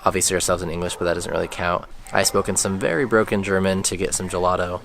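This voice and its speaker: A man's voice: low-pitched, fairly even intonation, quick pace, a bit bright. A young American man talking casually as a travel vlogger. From United States. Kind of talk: casual vlog chat. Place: outdoors in a town. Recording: outdoor ambience with clear background noise.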